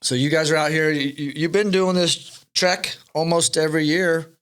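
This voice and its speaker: A man's voice: fairly high pitch, steady tone, moderate pace, fairly bright tone, smooth. A middle-aged man hosting a casual podcast, joking and ribbing his guest. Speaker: podcast host. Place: podcast studio in California.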